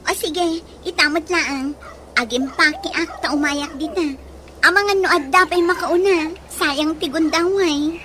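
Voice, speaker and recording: An actress playing a friendly, eager young nurse looking for work in a radio drama. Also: female voice, extremely high pitch, measured pace, pushed and bright, full character voice. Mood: excited. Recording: noisy, with ambient sound.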